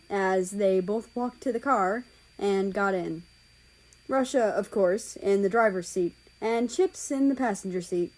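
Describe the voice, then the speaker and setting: A woman's voice: mid-range voice, darkish tone, low-quality recording. A woman narrating a written story aloud, reading out the prose and the dialogue tags. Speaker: fan fiction reader.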